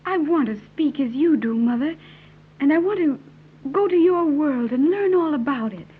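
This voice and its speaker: A woman's voice: high-pitched, animated, normal speed. A woman acting a naive, earnest young island woman in an old-time radio serial, speaking formally with careful diction. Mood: earnest, gentle. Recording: tinny, narrow-band vintage radio recording.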